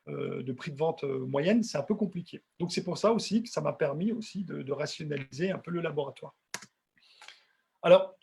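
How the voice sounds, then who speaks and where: A middle-aged man with a husky voice giving an informal technical lecture, conversational and repeating words for emphasis. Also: a little high. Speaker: dental technician giving a webinar.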